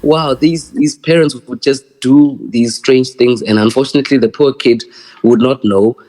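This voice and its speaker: A man's voice: medium pitch, steady tone, moderate pace, a little mellow, low-quality recording. A South African man in his thirties, a guest in a casual interview, friendly and laughing, often repeating words. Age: 30s. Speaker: poet, guest.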